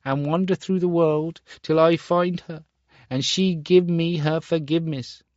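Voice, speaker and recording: Man reading as a scornful storybook boy, high and haughty, dull dry recording. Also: fairly even intonation, a little slow, a little mellow, no echo, lightly acted. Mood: remorseful. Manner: haughty, indignant. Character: proud cruel boy turned penitent.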